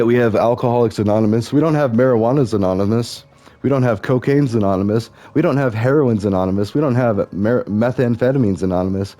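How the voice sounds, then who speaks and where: A young man with a deep, mellow voice talks on and on while playing a game. His thoughts wander from one tangent to the next in a loose, musing way. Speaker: gaming vlogger.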